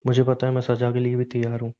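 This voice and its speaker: A man's voice: mid-range voice, monotone, fast, dark tone, muffled recording, lightly acted. A man playing a weary, guilt-ridden young husband in a naturalistic relationship drama, earnest and pleading, often repeating himself. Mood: apologetic, cautious. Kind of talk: naturalistic Hindi relationship audio drama, staged as a private conversation.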